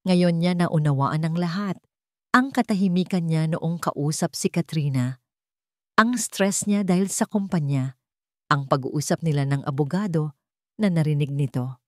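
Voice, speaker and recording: Female story narrator, Filipino accent, low register, very dry close sound, scripted dramatic read. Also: moderate pace, dry recording.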